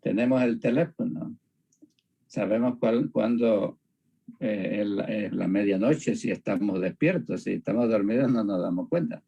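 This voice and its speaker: A man from Panama, explaining reflectively in conversation, haltingly and repeating phrases for emphasis. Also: on the low side, gravelly, mellow, breathy edge, dull audio. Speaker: discussion partner on a religious show.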